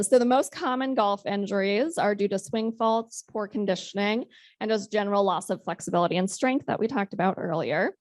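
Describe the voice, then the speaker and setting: A woman's voice: medium pitch, darkish tone, dry recording. Friendly woman leading a health talk. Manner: friendly, instructive, leads the group in exercises. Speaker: occupational therapist presenter.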